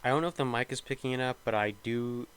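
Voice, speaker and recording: A man's voice: mid-range voice, quick pace, fairly bright tone, slight background noise. A young man with a slightly nasal voice, rambling casually and thinking aloud as he talks over gameplay. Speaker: gaming video creator.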